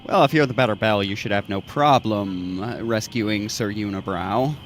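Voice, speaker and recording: A man's voice: lowish voice, moderate pace, a little hiss or hum. A man playing a tabletop roleplaying game, chatting casually and cracking jokes and puns. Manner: joking, punning.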